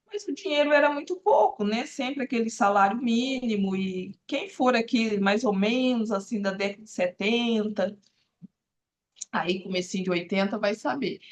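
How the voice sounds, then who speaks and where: A woman's voice: mid-range voice, normal speed. Earnest woman in her forties explaining. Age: 40s. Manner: earnest, explanatory, with many fillers. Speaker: therapist guest. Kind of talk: casual live-stream talk. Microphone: video call.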